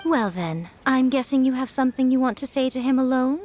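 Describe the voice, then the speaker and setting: An actress playing a poised, perceptive young schemer in a video game, with a composed, teasing, knowing manner. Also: female voice, high-pitched, animated, fairly quick, a little mellow, soft background music. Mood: kindly knowing.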